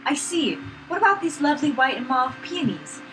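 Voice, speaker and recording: A woman's voice: high voice, lively intonation, brisk, pitched above the performer's own voice. Woman playing a polite florist boss, noisy recording. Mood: polite, refined. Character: Natalie, brisk kindly female flower shop owner, refined saleswoman. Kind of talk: visual novel let's play acting. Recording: noisy room recording, no proper mic.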